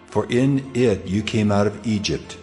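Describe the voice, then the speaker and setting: A man as a deep, solemn commanding God. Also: a little mellow, lightly acted. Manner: solemn, measured, authoritative pronouncements. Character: God of Israel, solemn commanding lawgiving deity. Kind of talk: dramatized audio Bible scripture reading. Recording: music under the voice.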